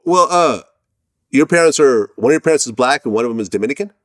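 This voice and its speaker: A man's voice: medium pitch, lively intonation, quick pace, no room sound, clean studio sound. A man hosting an interview, greeting his guest and asking questions. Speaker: interview host.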